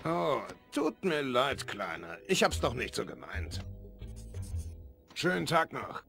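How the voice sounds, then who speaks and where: Gravelly, swooping gruff heavy. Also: male voice, mid-range voice, big pitch swings, slow pace, a bit bright, faint music behind, heavily acted. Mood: awkward. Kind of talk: acted video game villain dialogue.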